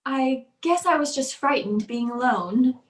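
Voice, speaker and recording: A woman's voice: high-pitched, expressive, measured pace, echoey room. A woman playing a frightened, pleading wife in a radio suspense drama, breathless and faltering. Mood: shaken, evasive. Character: frightened, pleading wife in fear for her life. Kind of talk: old-time radio suspense acting.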